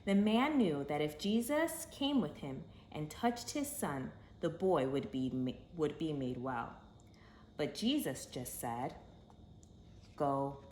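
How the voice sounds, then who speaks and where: Animated woman reading a children's storybook. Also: low-pitched, slow pace. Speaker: read-aloud storyteller for children. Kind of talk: expressive children's storybook read-aloud. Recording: echoey room with faint background noise.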